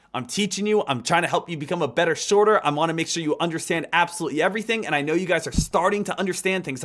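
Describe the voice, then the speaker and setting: 30s guy with a high voice teaching a trading class, pumped up, keeps repeating himself. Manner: energetic, repetitive, coaching tone. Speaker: trading instructor. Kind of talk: live trading lesson.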